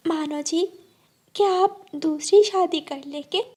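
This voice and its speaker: A woman's voice: very high-pitched, slow, dark tone, pitched above the performer's own voice. A woman voicing a meek, sweet young wife bird in a cartoon story, deferential and plaintive. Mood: tearful pleading. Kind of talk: Hindi cartoon story acting.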